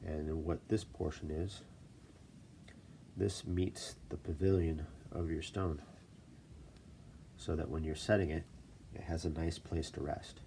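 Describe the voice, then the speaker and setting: A man with a stuffy voice from a cold, casually explaining stone setting in a relaxed, self-deprecating way at his workshop desk. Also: rumbling low, a little slow, long pauses, a little hiss or hum. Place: workshop desk, filmed from above.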